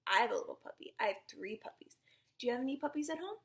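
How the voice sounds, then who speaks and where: A young woman reading a picture book aloud to small children, asking them questions and often dropping into hushed asides. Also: on the high side. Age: young adult. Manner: animated, asks the child listener questions and pauses for answers. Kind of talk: interactive storybook read-aloud for small children.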